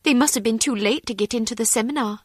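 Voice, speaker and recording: A woman's voice: fairly high pitch, fast talker, breathy edge, no room sound, clean studio sound. An actress playing a self-assured, flirtatious woman tourist with a haughty, prim way of talking. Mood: chatty. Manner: haughty, coaching.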